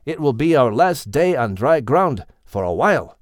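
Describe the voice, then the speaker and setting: A man reading a steady, kindly patriarch giving calm instructions in an audiobook. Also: medium pitch, moderate pace, no room sound, studio quality, close to an everyday voice. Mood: resolute, kind. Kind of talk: audiobook dialogue.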